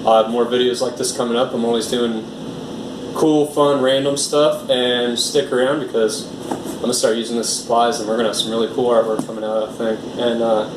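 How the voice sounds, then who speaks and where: A man's voice: mid-range voice, level tone, a little fast, distant mic, noisy background. Rambling American man in echoey room. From United States. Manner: rambling, filler-heavy, easygoing. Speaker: art vlogger. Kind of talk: casual unboxing chat.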